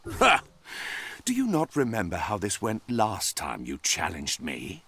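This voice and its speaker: A man's voice: lowish voice, wildly swooping pitch, measured pace, background noise, heavily acted. A man voicing an arrogant, vengeful evil magician in a cartoon video game, with grandiose, theatrical ranting. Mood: menacing. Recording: music under the voice.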